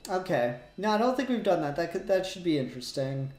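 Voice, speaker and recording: A man's voice: high voice, very animated, a little fast, a little mellow. A man hosting a casual comic book discussion podcast, informal and joking, with some swearing. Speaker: podcast host. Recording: roomy, echoey voice pickup.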